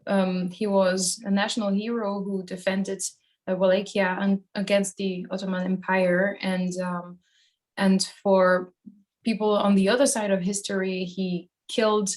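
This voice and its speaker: A woman's voice: medium pitch, darkish tone. A woman from Switzerland, a band singer, giving casual interview answers in a thoughtful, hesitant way as she searches for phrasing.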